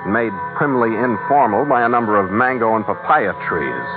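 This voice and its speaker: A man's voice: on the low side, expressive, normal speed, lightly acted. A man playing a hardboiled, cynical American sea skipper in an old-time radio adventure, talking and narrating in wry, tough slang. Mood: wry narration. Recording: tinny vintage radio recording with loud music.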